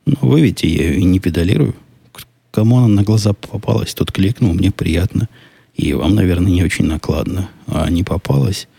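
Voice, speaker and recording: A man with a deep voice and a dark, husky tone hosts a solo podcast and answers listener questions in a loose, musing way. He sounds skeptical and thinks out loud, breaking off and restarting his sentences. The sound is dry and close, with a gravelly texture. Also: a little fast, no echo. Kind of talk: casual solo podcast monologue.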